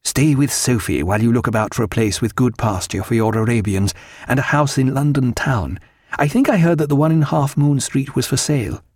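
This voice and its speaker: A man as a courteous, dry period gentleman surgeon. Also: medium pitch, no room sound, close to an everyday voice. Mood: reassuring, wry. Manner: formal, measured period phrasing. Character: courteous, learned, dry-humoured male ship's surgeon, affectionate husband.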